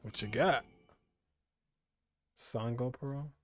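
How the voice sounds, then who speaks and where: A man's voice: mid-range voice, very slow, no echo. A man giving casual gameplay commentary, offhand and half muttering to himself. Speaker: gaming streamer. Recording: faint game music behind.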